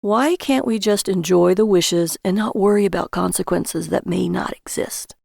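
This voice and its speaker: A childish, gullible husband , as a woman narrator plays him. The voice has a creaky edge and sounds boyish and easily hurt, in a very dry audio-story recording. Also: mid-range voice, moderate pace, a little mellow, no room sound. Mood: hopeful.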